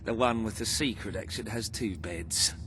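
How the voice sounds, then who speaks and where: An actor playing a pompous, scheming crime boss in a video game, with florid, over-enunciated, long-winded formal diction. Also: male voice, lowish voice, normal speed, sharp tone, slight background noise. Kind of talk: theatrical video game voice acting.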